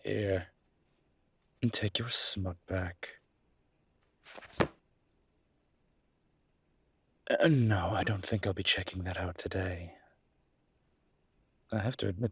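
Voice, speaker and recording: A man playing a charming, teasing mafia boss who flirts politely in an intimate roleplay audio drama, with playful pauses. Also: fairly low pitch, steady tone, breathy edge, no room sound. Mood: amused banter.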